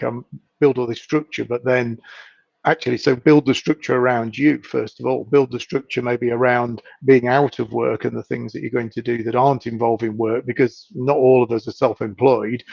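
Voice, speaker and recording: A man with a gravelly, husky voice who is losing it a little and keeps clearing his throat. He talks as a guest in a casual video-call chat, thoughtful, circling back and repeating words as he works out his point. The sound is dry and dull, like web-call audio. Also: mid-range voice, darkish tone, no echo. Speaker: hypnotist guest. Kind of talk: casual live-stream chat.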